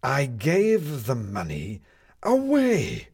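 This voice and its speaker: A man's voice: mid-range voice, wildly swooping pitch, very slow, creaky, a little mellow, husky, pitched above the performer's own voice, full character voice. A man voicing an indignant male schemer on the run in a storybook reading, with a blustering, theatrical, emphatic delivery. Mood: defiant.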